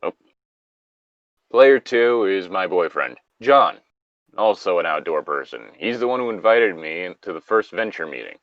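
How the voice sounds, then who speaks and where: A man with a very low voice reads and chats casually as a co-host, dropping joking asides. The sound is close and dry, with a slightly dull, lo-fi edge. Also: animated, unhurried, dry recording. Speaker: co-host of a story reading show. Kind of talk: casual reading and banter.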